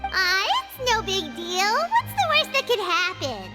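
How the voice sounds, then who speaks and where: A tiny, very high, squeaky little companion creature, bouncing all over in pitch and excitable. She refers to herself in third person, with loud bright music behind. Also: unhurried, full character voice. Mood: teasing. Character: tiny floating girl companion, chirpy and nosy.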